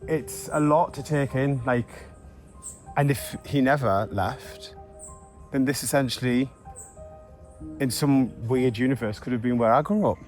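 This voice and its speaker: A man's voice: mid-range voice, darkish tone, faint music behind. Geordie male presenter, 30s, candid, emotional, teasing. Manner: candid, emotional, quick to tease. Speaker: reality TV presenter and narrator. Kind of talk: reflective narration and casual chat.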